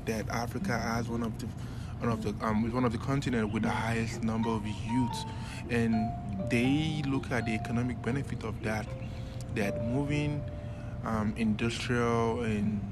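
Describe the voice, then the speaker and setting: A man interviewing a guest, talking with many pauses, fillers and restarts. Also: medium pitch. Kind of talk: conversational interview.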